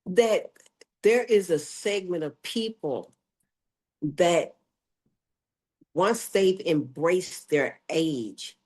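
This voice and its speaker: A woman's voice: on the low side, expressive, very slow, pauses often, darkish tone, slightly rough. An older woman, a health educator, speaking emphatically as an interview guest. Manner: emphatic, acts out quoted voices. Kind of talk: conversational interview.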